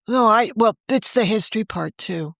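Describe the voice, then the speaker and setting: A woman in her sixties, a novelist guest on a remote interview, talking in a chatty, animated way and often jumping in over the host. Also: on the high side, normal speed, gravelly, no echo, clean studio sound. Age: 60s. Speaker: novelist and podcast creator, guest. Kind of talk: casual interview chat.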